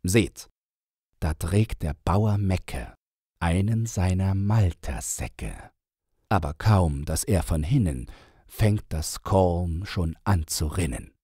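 Deep, very slow male storyteller. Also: animated, warm tone, husky, no room sound, studio quality. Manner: measured, dramatic pauses. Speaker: audiobook narrator. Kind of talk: storybook verse narration.

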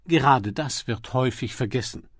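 A man's voice: medium pitch, normal speed, fairly bright tone, no echo, lightly acted. A man voicing a deferential, precise manservant with dry wit in an audiobook crime story.

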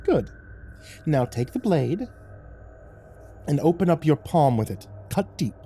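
A wicked demon cat in a dark storybook tale. The voice is pitched high and teases theatrically, with a cruel, mocking edge. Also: male voice, big pitch swings, slow pace, a little hiss or hum, full character voice. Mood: commanding, sinister. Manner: taunting, theatrical, profane. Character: mocking, sadistic devil in the shape of a cat.

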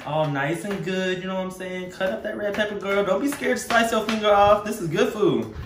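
A man's voice: sits high, fairly quick. A man in his twenties with a Southern US accent, talking playfully and sassily to viewers in casual slang as he hosts a home cooking vlog. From Louisiana, United States. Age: 20s. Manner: playful, sassy, animated, slangy. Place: indoors in a home kitchen. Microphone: camera a step or two away. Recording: echoey kitchen, some background noise.

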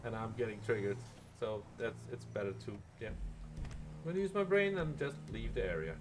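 A man's voice: on the low side, animated, a little fast, noisy background. A laid-back man chatting casually in online game roleplay, swearing freely into a close microphone. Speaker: live streamer playing a medic in online roleplay.

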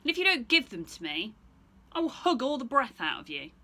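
Bossy picture-book bear, played by a woman: loud, bright, demanding, easily offended. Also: high-pitched, sing-song, a little fast, voice pushed up, exaggerated voice. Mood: threatening.

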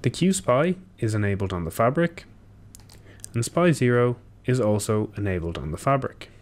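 A young man giving a technical walkthrough, steady and explanatory with occasional ums and self-corrections, recorded at a desk in a small room. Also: mid-range voice, normal speed. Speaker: engineer presenting a tutorial. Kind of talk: technical walkthrough over screen share.

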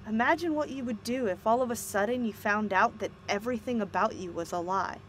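A woman's voice: on the high side, brisk, a little hiss or hum, lightly acted. A woman playing a principled, concerned doctor in an audio drama, earnest and articulate. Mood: insistent. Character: principled, concerned female doctor, insistent yet tender. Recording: music under the voice.

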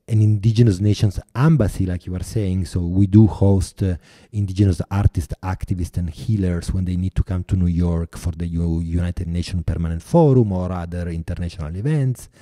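A man in his forties with an Italian accent, speaking as a podcast guest in a thoughtful way, pausing often and restarting phrases. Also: sits low, mellow, no room sound, studio quality. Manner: thoughtful, many hesitations and restarts. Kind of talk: podcast interview.

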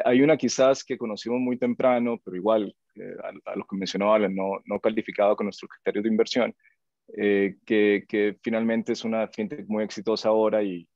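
A man with a fairly low voice. He answers off the cuff on an investment panel, heard through a lo-fi but dry video call. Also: vocal fry, darkish tone, no echo. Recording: dull, lo-fi video-call audio.

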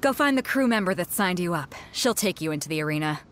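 A woman's voice: expressive, quick pace, fairly bright tone, a little hiss or hum. An actress voicing a bold, confident female sea captain who organizes a fighting tournament and teases her companions, in a game cutscene with music behind her. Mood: announcing. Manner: booming announcer calls to a crowd. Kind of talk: video game voice acting.

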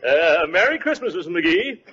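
An actor playing a jovial, courtly, chummy neighbor with a hearty, unctuous manner in a 1940s radio comedy. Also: male voice, sits high, big pitch swings, normal speed. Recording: noisy, muffled 1940s radio recording.

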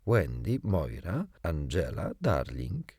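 A man's voice: a bass voice, big pitch swings, takes it slowly, darkish tone, husky, dry recording, clean studio sound, full character voice. Guy doing a polite little girl from a storybook, prim n curious, super sing-song. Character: courteous, curious, kindly young girl.

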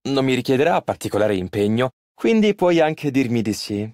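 A man's voice: medium pitch, very animated, normal speed, darkish tone, no room sound, clean studio sound, natural voice. An actor playing a polite, earnest and easily flustered young male tailor in an Italian game dub. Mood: friendly, teasing. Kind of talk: video game character voice lines, Italian dub.

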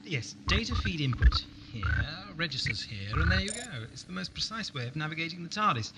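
A man with an English accent playing a whimsical, chatty, easily miffed time traveller in an amateur audio drama, with playful, expressive delivery. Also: a little high, a little fast. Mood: inquisitive. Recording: noisy 1980s cassette recording.

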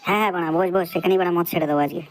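A man's voice: sits high, fairly even intonation, racing, close to an everyday voice. A man voicing a dim, stubborn young male freeloader in a crude comedy cartoon, slangy, repetitive and argumentative. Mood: carefree.

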